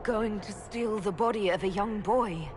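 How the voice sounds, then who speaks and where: An actress playing an earnest elven mage heroine in a video game, asking direct, searching questions. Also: female voice, medium pitch, unhurried, noisy background, natural voice. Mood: wary. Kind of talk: dramatic video game voice acting. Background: background music.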